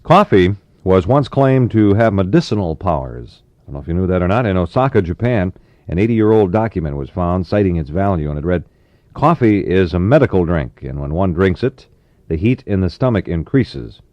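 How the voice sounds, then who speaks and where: A low-pitched American man hosts a 1960s radio show with easygoing patter and folksy asides. The sound is a muffled, roomy AM aircheck from a studio, with his voice carrying some echo. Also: animated, normal speed, a little mellow.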